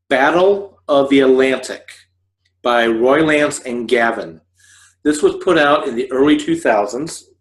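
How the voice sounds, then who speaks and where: Casting: man, forties, mid-pitched, casual explainer who wanders and backtracks, recorded at home with roomy echo. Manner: rambling, explanatory, with self-corrections. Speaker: host of a scriptwriting video podcast, fiction writer. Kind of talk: informal instructional talk to camera. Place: living room couch, indoors.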